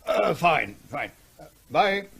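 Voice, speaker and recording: An actor playing a flustered, blustering middle-aged major and councillor in a radio drama. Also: male voice, fairly high pitch, very animated, slow, creaky, fairly bright tone, slightly rough, exaggerated voice. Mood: businesslike. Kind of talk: 1970s radio drama acting.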